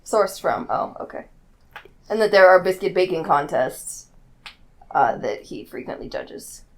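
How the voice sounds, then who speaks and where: A woman's voice: lowish voice, animated, a little slow, a little hiss or hum. A woman chatting casually and jokingly as a player in a tabletop game group.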